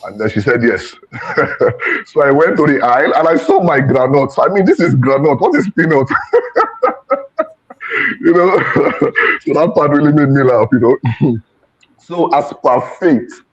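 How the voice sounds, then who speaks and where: A man on a church panel, telling a relaxed story with frequent fillers. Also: medium pitch, unhurried, slightly rough. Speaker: panel speaker. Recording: dull, muffled sound.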